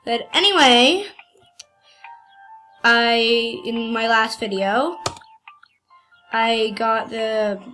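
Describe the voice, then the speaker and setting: Woman with a cold, rambling gameplay commentary, slightly high voice, slow delivery, faint music bed. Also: sharp tone. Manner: hesitant and rambling, many fillers. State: has a cold. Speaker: gaming channel host. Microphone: computer screen recording.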